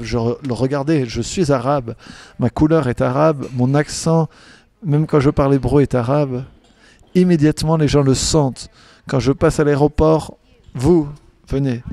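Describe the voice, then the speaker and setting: A man interpreting a panel speaker simultaneously, talking in halting phrases with frequent restarts and self-corrections. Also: medium pitch, warm tone, no room sound. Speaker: conference interpreter.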